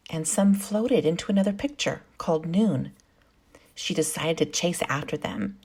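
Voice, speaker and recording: A woman teacher reading a storybook expressively to young children, with measured, drawn-out phrasing. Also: medium pitch, a little mellow. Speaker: kindergarten teacher.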